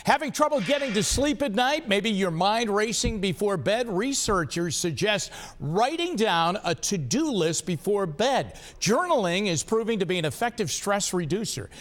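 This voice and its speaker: A man's voice: high voice, big pitch swings, moderate pace, few pauses, fairly bright tone. An American man presenting live television news as the anchor in a studio.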